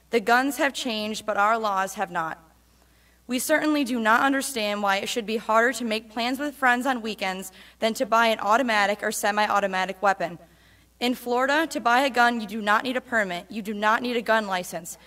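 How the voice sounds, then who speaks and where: A woman, a church member, giving a steady, emphatic public reading of a speech in a church. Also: a little high.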